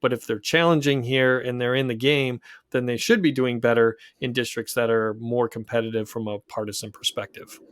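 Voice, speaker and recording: A man from the Pacific Northwest hosting a political podcast, talking in a measured, analytical way with frequent restarts. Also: mid-range voice, fairly even intonation, smooth, dry recording, clean studio sound.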